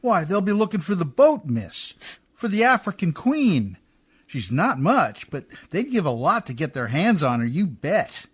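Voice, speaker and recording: A high-pitched, very animated riverboat mechanic played by a man in a radio play. He speaks in rough, folksy, ungrammatical phrases, deferential to a lady yet openly skeptical. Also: a little slow, exaggerated voice. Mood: brisk, reassuring. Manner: folksy ungrammatical speech, often adding "miss". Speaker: actor in a community radio theatre. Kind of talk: radio drama acting in an old Hollywood radio style.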